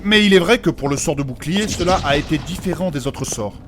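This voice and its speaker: A man's voice: a little high, animated, brisk, noisy background, natural voice. A man playing a learned, courteous mage in a fantasy audio comedy, speaking formally and precisely as he explains things in an earnest, pedantic way. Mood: earnest, scholarly. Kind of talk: French humorous fantasy audio fiction acting. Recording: music behind the voice.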